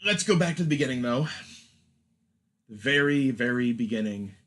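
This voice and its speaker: A man's voice: medium pitch, expressive, moderate pace, fairly bright tone. A man hosting a casual film chat, with a wry, joking manner. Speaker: podcast host.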